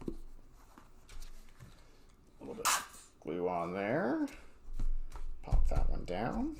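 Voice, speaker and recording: A man's voice: lowish voice, huge pitch swings, slow pace. A man teaching a casual craft demonstration in an encouraging, easygoing way, murmuring little asides as he works. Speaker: art teacher. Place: home studio. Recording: clear background room noise.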